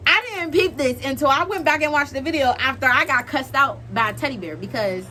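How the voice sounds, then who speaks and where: A young American woman hosting a casual storytime vlog, talking in an animated, slangy, giggly way. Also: high voice, brisk. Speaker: vlog host.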